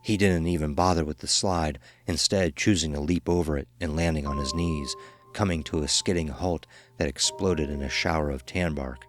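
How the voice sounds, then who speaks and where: A man narrating a scripted horror story as a dramatic, measured storyteller. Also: very deep voice, fairly even intonation, soft background music.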